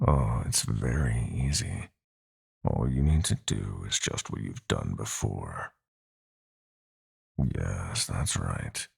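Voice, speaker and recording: A man playing a sadistic, possessive god of nightmares in an audio roleplay, with a gravelly, breathy growl and a slow, taunting, theatrical delivery. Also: very deep voice, a little mellow, heavily acted. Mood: gloating menace. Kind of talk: dramatic audio roleplay villain.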